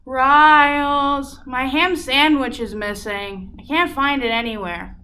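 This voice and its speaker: A woman's voice: sits high, fairly even intonation, takes it slowly, pushed and bright, heavily acted. A woman playing a cocky, bratty young man in a radio play, flippant and whiny. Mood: flippant, teasing.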